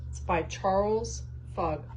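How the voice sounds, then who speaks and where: A woman's voice: medium pitch, takes it slowly, darkish tone. A woman hosting a read-aloud, reading a children's story and speaking directly to young listeners. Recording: clearly noisy background.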